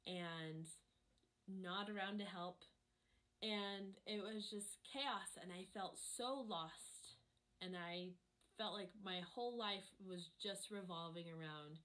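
A woman in her thirties hosting an art channel, chatting reflectively in a voice-over, her voice hoarse from a cold. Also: on the low side, a bit bright, low-quality recording. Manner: reflective, chatty, many ums. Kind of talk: casual voice-over chat over a painting.

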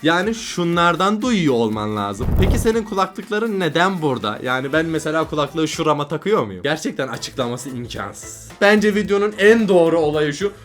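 A man's voice: on the high side, sing-song, normal speed, hardly any pauses, faint music behind. A man giving casual comedic reaction commentary as a video host, asking exclamatory, mock-baffled questions. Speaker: YouTube host reacting to cartoon images.

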